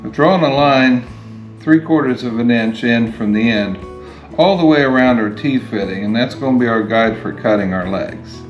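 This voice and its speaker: A man in his sixties, a DIY host giving a plain, unhurried step-by-step demonstration while working at his home garage workbench, picked up by a camera above the bench. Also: mid-range voice, dull audio. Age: 60s. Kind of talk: hands-on how-to demonstration. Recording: echoey garage with guitar music. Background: guitar music.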